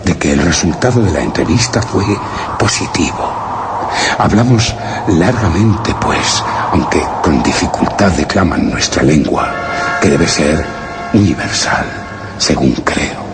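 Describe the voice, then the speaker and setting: An actor playing a solemn, anxious chronicler in a radio horror drama, grave and formally literary, his phrase endings turning breathy. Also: very deep voice, expressive, measured pace, very noisy, exaggerated voice. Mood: solemn, confiding. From Spain. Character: solemn, anxious male chronicler of a mysterious encounter. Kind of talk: radio horror drama, first-person narration of a written account. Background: music.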